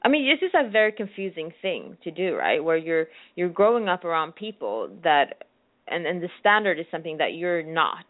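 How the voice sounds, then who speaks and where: A woman's voice: lowish voice, very animated, normal speed, dry recording, phone-quality sound. A young woman from Sweden speaking fluent English as an interview guest, reflective and often searching for her words.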